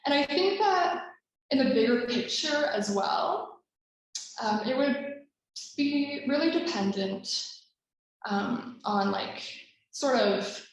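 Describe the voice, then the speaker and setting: A young Taiwanese Canadian woman speaking softly and thoughtfully on a video call panel, with frequent ums. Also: fairly high pitch, very echoey, poor audio.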